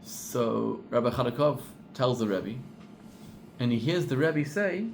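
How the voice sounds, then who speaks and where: A middle-aged rabbi with a South African accent telling a story in a sermon, acting out the people in it and repeating words for emphasis. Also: male voice, mid-range voice, a little slow, vocal fry, a little hiss or hum. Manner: animated storyteller, acts out quoted voices, repeats for emphasis.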